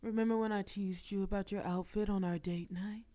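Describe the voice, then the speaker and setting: A woman's voice: mid-range voice, steady tone, a little fast, mellow. A woman voicing a bold, flirtatious female mafia boss in an audio roleplay. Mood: focused. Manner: teasing, flirtatious. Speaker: voice actress.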